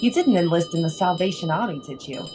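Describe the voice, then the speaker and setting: An actress playing a caring, homey wife who gently frets over her husband in an old-time radio comedy. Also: on the low side, lively intonation, fast, music in the background, lightly acted. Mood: caring, concerned. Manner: solicitous, homey.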